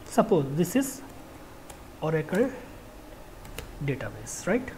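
A software trainer giving an informal technical lecture, explaining in a halting, repetitive way with frequent check words. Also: mid-range voice, sing-song, a little hiss or hum.

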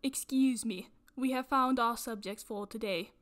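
A woman putting on a prim British nature documentary narrator voice, hosting a parody nature vlog with pompous, mock-solemn deadpan. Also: a little high, measured pace, shouting, very noisy, lightly acted. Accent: put-on British. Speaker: host.

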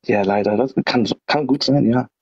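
A man calling into a livestream, speaking quietly and hesitantly, apologizing often. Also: medium pitch, moderate pace, vocal fry, dull audio, crowd noise. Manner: hushed and hesitant, keeps apologizing. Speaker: caller. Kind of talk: livestream call-in questions.

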